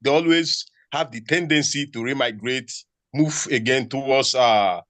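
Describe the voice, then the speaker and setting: A man's voice: medium pitch, measured pace, a bit bright, no room sound. Hesitant man, dry close sound. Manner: hesitant, many fillers and restarts. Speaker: university researcher. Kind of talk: panel question. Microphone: video call.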